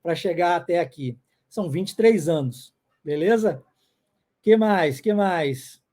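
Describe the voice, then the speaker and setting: A man's voice: sits high, animated, measured pace, dark tone. A middle-aged man who grew up in the United States and whose first language is English, teaching an online lesson live and checking in often with viewers.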